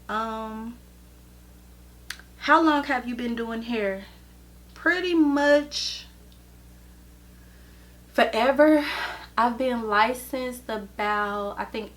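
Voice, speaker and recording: Slow, easygoing woman in her thirties. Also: fairly high pitch, a bit bright. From Chicago and Detroit, USA. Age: 30s. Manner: slow, easygoing, with many ums. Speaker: vlogger and hairstylist. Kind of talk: casual Q&A vlog.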